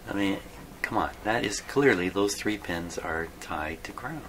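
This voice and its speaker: A man thinking aloud in an unscripted workbench video, halting and puzzled, muttering to himself in a self-deprecating way. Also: sits low, lively intonation, a bit bright. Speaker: host of a radio repair video. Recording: clearly noisy hobby video recording.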